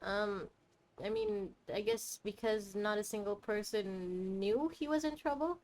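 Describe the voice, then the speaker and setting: A woman's voice: mid-range voice, expressive, measured pace, a little mellow. A woman streaming a game, chatting and teasing the characters in casual commentary.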